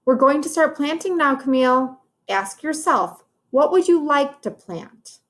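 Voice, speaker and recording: A woman's voice: sits high, animated, a little slow, fairly bright tone, voice pushed up. A woman voicing a patient, instructive female teacher in a children's storybook, guiding the children. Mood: instructing.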